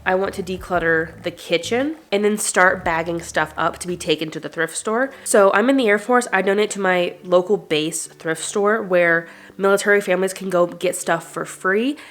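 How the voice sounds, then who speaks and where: A woman's voice: on the low side, moderate pace, hardly any pauses, fairly bright tone. A young woman talking in a casual, upbeat, informal way, as a vlogger addressing her viewers. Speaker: vlogger hosting a home declutter channel. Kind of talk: casual chatty vlog.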